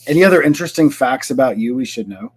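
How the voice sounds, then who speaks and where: A man's voice: medium pitch, expressive, quick pace, breathy edge. A man hosting a live-streamed interview show from home, chatty and upbeat with a few fillers. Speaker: host. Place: home, lawn crew outside.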